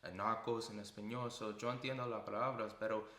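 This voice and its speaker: A man hosting a podcast, talking in a lively, jokey way and mixing broken Spanish into his English. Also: on the low side, fast, echoey room. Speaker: podcast host. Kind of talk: casual interview chat.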